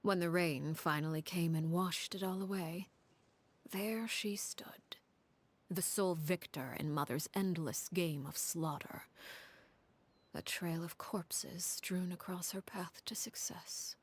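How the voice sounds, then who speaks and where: Casting: cold, commanding game harbinger woman, low-pitched, hushed and breathy, measured storyteller. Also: full character voice. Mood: solemn, restrained. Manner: measured, solemn, deliberate. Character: composed, cold, commanding woman harbinger telling her grim past. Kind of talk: dramatic storytelling in a video game.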